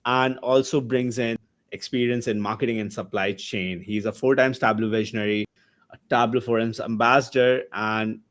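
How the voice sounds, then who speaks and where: A man hosting a user group, reading a formal introduction of the speakers over a video call. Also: on the low side.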